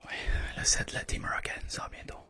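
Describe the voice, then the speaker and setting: A person with a Quebec French accent whispering softly in an ASMR roleplay as a clinician, close to the microphone, often repeating short words. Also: a little fast. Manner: soft-spoken, murmured repetition of short words. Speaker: ASMR performer playing a clinician doing a hearing test.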